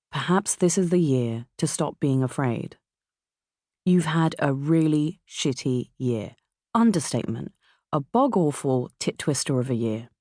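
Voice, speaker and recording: A middle-aged woman from England with a southern English accent reads a memoir as its author, in a measured, expressive way. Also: deep voice, no room sound, clean studio sound. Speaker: author narrating own audiobook. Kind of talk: scripted memoir narration. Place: dry recording studio.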